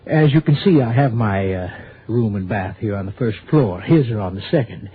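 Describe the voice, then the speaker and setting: An actor playing a flustered, defensive, proper doctor in a 1960s radio drama, speaking formally and hesitantly in a husky voice. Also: male voice, on the low side, expressive, normal speed, slight background noise, natural voice. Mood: defensive, worried. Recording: tinny vintage radio recording.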